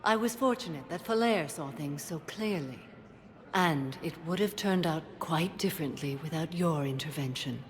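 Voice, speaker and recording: A woman's voice: deep voice, animated, normal speed. A woman playing a solemn, composed alien warrior in a video game, speaking formally and with restraint. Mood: solemn, grateful. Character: female alien Justicar warrior, solemn, composed, devoted mother. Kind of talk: video game cutscene dialogue.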